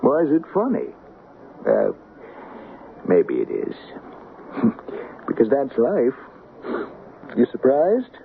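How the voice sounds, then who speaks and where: An actor playing a smooth, persuasive big-city newsman in a radio drama, suave and insistent. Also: medium pitch, wildly swooping pitch, slow, pauses often, heavily acted. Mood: coolly matter-of-fact. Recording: tinny, noisy old radio broadcast.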